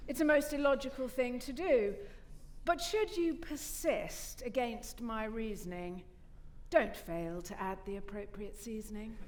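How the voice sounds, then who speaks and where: A woman reciting a comic nonsense poem in a deliberate, mock-solemn way on stage. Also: fairly high pitch, a little mellow. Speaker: reader of comic verse. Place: large theatre stage. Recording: noisy theatre stage with audience.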